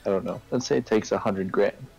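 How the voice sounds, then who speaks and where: A man's voice: on the low side, a little fast, vocal fry, darkish tone, slightly rough, faint music behind, low-quality recording. A man casually explaining his point in a discussion, often checking in with a "right?" or "okay?" as he goes. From Canada. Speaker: participant in a group discussion. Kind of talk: casual debate, chat.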